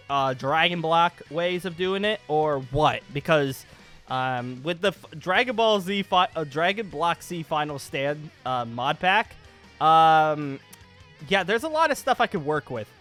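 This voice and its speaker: A man giving a casual gaming channel update as host, rambling along in an excitable, emphatic way. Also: a little high, a little slow. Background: background music.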